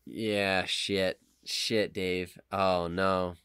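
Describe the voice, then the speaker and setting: A man with a fairly low, bright voice, recorded dry and close. He chats casually as a podcast co-host, working things out aloud and swearing freely as he goes. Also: little pitch movement, very slow, smooth, no echo. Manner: swears casually, thinks out loud. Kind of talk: casual chat, guessing game among friends.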